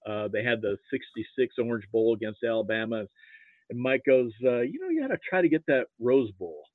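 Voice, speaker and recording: An American man chatting casually as an interview guest, telling anecdotes in a halting way with repeated starts. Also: mid-range voice, sing-song, no echo. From Nebraska, US. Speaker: podcast guest, sports archivist. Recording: muffled, phone-like narrow-band line.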